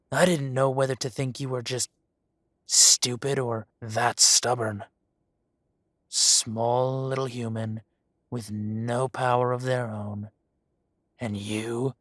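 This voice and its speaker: A man voicing a male dragon who poses as a mafia boss, speaking with slow, purring, mocking menace. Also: medium pitch, fairly bright tone, dry recording, studio quality. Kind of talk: roleplay audio drama.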